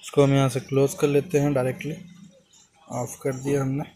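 A man's voice: mid-range voice, little pitch movement, brisk, warm tone, slight background noise. A young man, a car mechanic, explains a repair step by step while he works on an engine, filmed close on a phone. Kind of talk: hands-on repair tutorial. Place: inside a car and at the open engine bay, outdoors.

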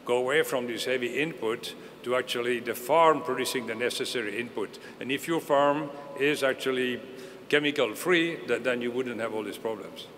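A man's voice: mid-range voice, moderate pace, a bit bright. An older man on a conference panel speaks earnestly, restarting and circling back as he reasons aloud. His voice carries a long reverb from a large hall, with faint ambient hiss behind it. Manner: earnest, restarts and hedges often. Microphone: table mic.

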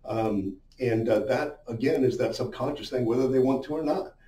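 Male business coach, middle-aged, mid-pitched mellow voice, chatty explainer style, recorded in a roomy, echoing space. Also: normal speed. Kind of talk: informal instructional talk at a whiteboard. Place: small indoor room with a whiteboard. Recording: roomy, muffled, distant-sounding echo.

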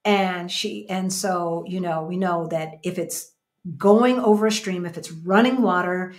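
A woman's voice: lowish voice, unhurried. An American woman in her fifties co-hosting a casual livestream from a room at home, talking emphatically and repeating phrases for emphasis. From United States. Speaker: co-host of a livestream. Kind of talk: casual livestream chat, reading out viewer comments. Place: small indoor room at home.

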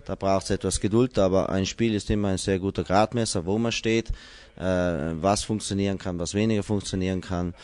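A middle-aged man, a head coach, answering interview questions indoors into a handheld mic, measured and hesitant with frequent fillers. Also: low-pitched, steady tone, a little mellow. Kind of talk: post-training interview. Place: indoor press wall, small room. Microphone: handheld mic held by interviewer.

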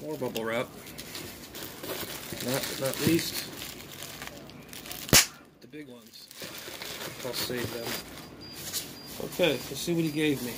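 A man's voice: mid-range voice, slow, long pauses. A middle-aged man talking casually and rambling, muttering to himself as he thinks aloud in an unboxing vlog, recorded on a phone in a small room. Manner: rambling, muttering to himself while handling items. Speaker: amateur radio hobbyist and vlogger. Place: small bedroom, indoors. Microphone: phone propped at arm's length. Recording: clear background noise, room ambience.

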